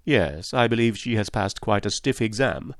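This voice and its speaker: A man voicing a reserved, earnest and slightly stiff English gentleman in an audiobook. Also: fairly low pitch, animated, normal speed, no echo, studio quality. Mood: helpful.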